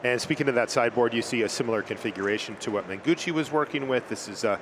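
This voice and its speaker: A man commentating a card game tournament in a lively, conversational way, with filler words here and there. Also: mid-range voice, fast talker, noisy background. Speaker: esports commentator. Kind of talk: play-by-play commentary.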